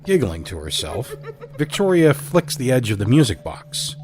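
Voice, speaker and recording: A man narrating an audio drama in a measured, wry, literary manner, with faint music beneath. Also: mid-range voice, very animated.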